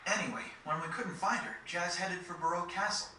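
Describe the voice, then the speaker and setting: A cocky teenage game adventurer, talks plainly and casually in a high, piercingly bright voice. It echoes heavily, as if from a little way off. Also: male voice, expressive, a little fast, heavily acted. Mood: troubled. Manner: casual, slangy, incredulous. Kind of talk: video game voice acting. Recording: very echoey, distant game capture with noise.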